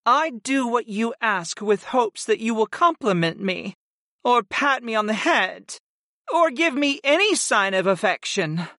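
A narrator putting on a high, yearning succubus servant. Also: expressive, measured pace, pushed and bright, no room sound, studio quality. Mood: wounded, devoted. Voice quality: high, sighing, purring. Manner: submissive, pleading, wounded. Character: devoted, yearning female winged succubus servant.

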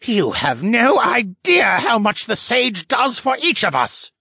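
A man performs a captive merman traitor from a fantasy audiobook. The voice is husky and lifted high, swinging widely in pitch. He sounds bitter, sneering and defiant. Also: big pitch swings, unhurried, a bit bright, dry recording, clean studio sound, heavily acted. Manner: sneering, scornful, muttering.

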